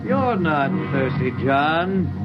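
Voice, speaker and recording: A cold, creeping Martian impostor in a 1950s radio play. He drags out every word far slower than normal, and his voice sits high. The sound is dull, like an old broadcast. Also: loud music behind the voice. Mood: menacing. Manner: slow, flat, insistent. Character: Martian man posing as a dead brother, coldly menacing. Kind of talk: 1950s radio drama acting.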